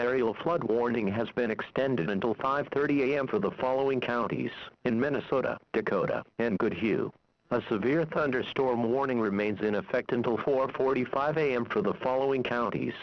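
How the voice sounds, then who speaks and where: A man's voice: mid-range voice, gravelly, no room sound. Synthetic male announcer, dull radio sound. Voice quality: synthesized text-to-speech voice. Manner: formal, measured, impersonal. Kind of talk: scripted weather warning bulletin. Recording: dull lo-fi weather radio broadcast.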